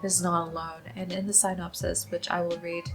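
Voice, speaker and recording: A young woman chatting casually to camera as a book vlogger, upbeat and quick to laugh. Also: fairly low pitch, little pitch movement, over music.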